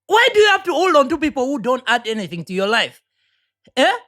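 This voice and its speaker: Woman, Ugandan accent, high-pitched, earnest motivational talk, preachy, repeats herself. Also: very animated, brisk, a bit bright. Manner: earnest, preachy, repeats words while searching. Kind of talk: motivational monologue.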